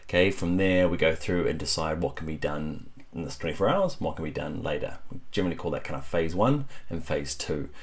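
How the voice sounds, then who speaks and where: A man with a deep voice, talking softly at home at night through a casual tutorial, rambling with many fillers. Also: lively intonation, brisk, few pauses. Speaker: web developer. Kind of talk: casual screen-recorded tutorial. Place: home at night while family sleeps. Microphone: computer mic while screen recording.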